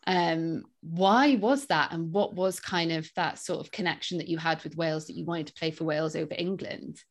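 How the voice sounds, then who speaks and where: A woman's voice: low-pitched, moderate pace. A woman hosting a podcast, asking interview questions in a friendly way. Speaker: podcast host.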